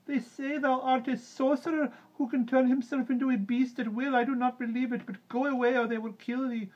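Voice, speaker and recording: A man's voice: extremely high pitch, level tone, brisk, darkish tone, dull audio, voice pushed up. A man voicing an anguished, loving village mother in a storybook, wailing and pleading.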